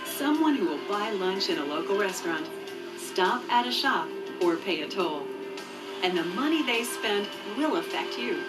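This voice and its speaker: A woman narrating a scripted promotional video in a clear, measured, informative way. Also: mid-range voice, sing-song. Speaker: voice-over narrator. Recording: muffled distant VHS tape dub with music. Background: background music.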